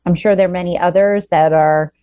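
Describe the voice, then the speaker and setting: Low-voiced host on a dull, dry line. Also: female voice, steady tone, a little fast, clear, resonant tone, dry recording. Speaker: podcast host. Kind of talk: casual interview chat.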